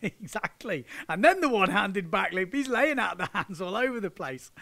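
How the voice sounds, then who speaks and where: A man's voice: high voice, sing-song, moderate pace, creaky, heavy background noise. A man, chatting casually as a windsurfing vlog host, laughing and using slang. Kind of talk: casual chat with commentary over surf footage. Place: indoors, sitting on a sofa. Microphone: handheld microphone.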